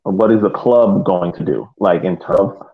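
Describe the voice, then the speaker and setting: A man's voice: deep voice, moderate pace, creaky. A man co-hosting a sports podcast in casual discussion, heard over a thin, band-limited connection. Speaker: podcast co-host. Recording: tinny phone-like band-limited line.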